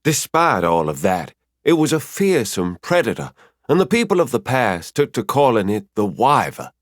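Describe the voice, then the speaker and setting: Man voicing a cheerful, high-pitched female spirit mentor. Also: sing-song, normal speed, dry recording, studio quality, close to an everyday voice. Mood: explaining. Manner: chatty, explanatory, fond. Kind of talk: audiobook character voice.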